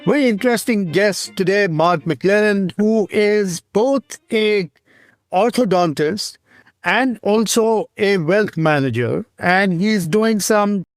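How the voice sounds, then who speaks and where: Male podcast host with a higher voice, dry sound, measured interviewer. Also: no room sound. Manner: measured, leading questions with a gentle lead-in. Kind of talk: interview questions.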